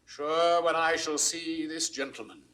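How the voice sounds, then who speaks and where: An actor playing an aging, remorseful king in classical Shakespearean verse, with a British accent and a measured, grandly declamatory delivery. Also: on the high side, steady tone, pushed and bright. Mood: pained, agitated.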